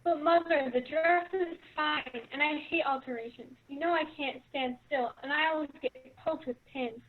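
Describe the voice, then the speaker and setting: A woman's voice: high voice, normal speed, narrow, tinny audio, close to an everyday voice. A woman playing a whiny, pampered young daughter, sulky in a children's theatre staged reading.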